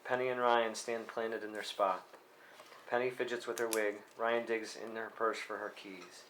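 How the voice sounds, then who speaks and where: Lowish-voiced man narrating, faint noise. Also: little pitch movement, moderate pace, a bit bright. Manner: plain read with small stumbles. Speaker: stage directions reader. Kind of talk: scripted narration at a table read. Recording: faintly noisy live room recording.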